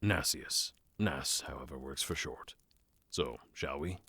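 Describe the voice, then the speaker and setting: An actor playing a calm, optimistic fantasy cleric, speaking in a measured, polite way. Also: male voice, very deep voice, husky, dry recording, full character voice.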